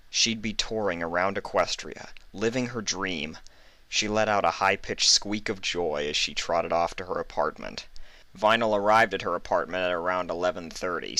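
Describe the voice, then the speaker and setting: Deep, bright-edged male story narrator. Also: no room sound.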